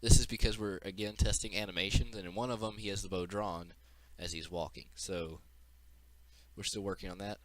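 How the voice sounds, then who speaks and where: A man's voice: low-pitched, fairly even intonation, fairly quick, no room sound. A man giving an informal, unscripted walkthrough of a game demo as its developer, explaining as he goes, with audible breathing into the mic.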